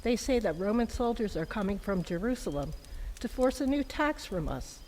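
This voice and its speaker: A woman acts out a gentle, timid young village mother in a Christmas story drama. Her voice is medium in pitch and earnest, with deliberate phrasing. Long reverb and faint noise surround it. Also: animated, lightly acted. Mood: hushed, uneasy.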